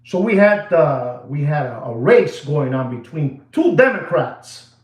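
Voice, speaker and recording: A man's voice: fairly high pitch, a little slow. A man, ranting casually to viewers in a rambling way and slipping into Spanish phrases. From New York, USA. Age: 60s. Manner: animated and rambling, mixes in Spanish phrases, addresses viewers as "papi". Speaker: watch dealer, show host. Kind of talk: casual rant to camera. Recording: echoey room.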